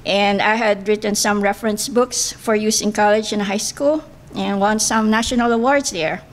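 A woman's voice: medium pitch, fairly even intonation, moderate pace. A middle-aged woman from the Philippines with a Filipino accent, speaking English as a second language in an earnest, careful candidate speech at a podium mic in a banquet room. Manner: earnest, careful, with hesitant fillers. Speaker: political candidate. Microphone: podium microphone.

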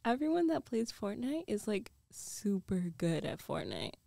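Slow, mellow teasing girlfriend played by a woman. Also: mid-range voice, big pitch swings, creaky, no room sound, exaggerated voice. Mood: casual, amused. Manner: teasing, giggly, slow, often dropping to a whisper. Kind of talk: intimate ASMR girlfriend roleplay.

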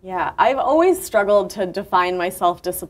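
A woman's voice: mid-range voice, lively intonation, normal speed. A woman author at a book launch conversation, thinking aloud at length with fillers and restarts, heard from a far camera in a university lecture room.

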